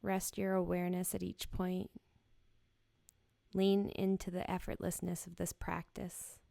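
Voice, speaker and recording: A woman guiding a yoga nidra body scan in a gentle, soothing, unhurried manner with short, spaced phrases. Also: fairly low pitch, flat delivery, gravelly, no echo. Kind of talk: guided meditation, slow body scan.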